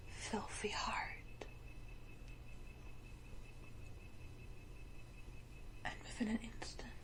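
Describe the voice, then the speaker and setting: A woman's voice: fairly high pitch, a little mellow, poor audio. Woman as a whispering, menacingly tender vampire matriarch. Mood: menacing, proud. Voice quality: breathy whisper. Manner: slow, deliberate, theatrical pauses. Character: powerful female vampire matriarch, menacingly tender, proud, condescending. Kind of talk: whispered ASMR roleplay. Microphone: close ASMR microphone.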